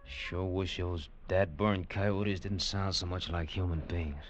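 An actor playing a nervous, testy cavalry trooper on night watch in an old-time radio drama. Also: male voice, sits low, fairly even intonation, slow pace. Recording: dull, faintly noisy 1950s radio recording.

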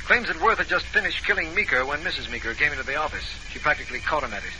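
An actor playing a brisk, businesslike official on a murder case in a 1940s radio drama. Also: male voice, on the high side, natural voice. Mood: brisk, inquiring. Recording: noisy late-1940s radio recording.

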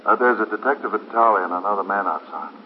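A man playing a brisk, deferential policeman in a 1950s radio drama. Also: fairly low pitch, close to an everyday voice. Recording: muffled, echoing, noisy 1950s radio recording.

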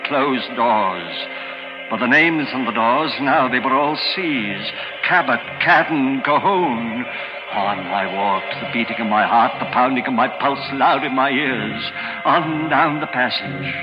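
A man's voice: mid-range voice, lightly acted. An actor playing an older man in a 1940s radio thriller, speaking with urgent, dramatic delivery. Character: elderly man, hunted by a scheming son-in-law. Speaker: protagonist and narrator. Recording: dull old radio broadcast with music.

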